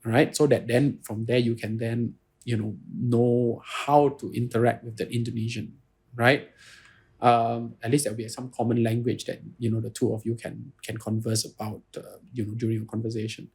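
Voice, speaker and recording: A man's voice: mid-range voice, flat delivery, normal speed, gravelly, darkish tone, slightly rough. A man with a Singaporean English accent, answering interview questions earnestly, restarting phrases and often saying "you know". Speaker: guest lawyer.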